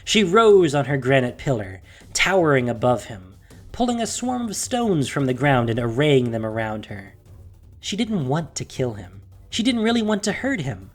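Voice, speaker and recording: Audio drama narrator: husky, lively intonation, measured dramatic delivery, faint music underneath. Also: mid-range voice. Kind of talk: scripted dramatic story narration.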